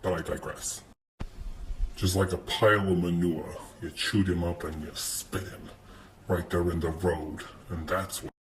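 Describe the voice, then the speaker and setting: A man's voice: low voice, steady tone, measured pace. A man playing a hardboiled noir detective, telling his case in wry, dramatic rhyme. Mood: world-weary. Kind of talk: rhyming film-noir parody narration. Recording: noisy homemade phone clips.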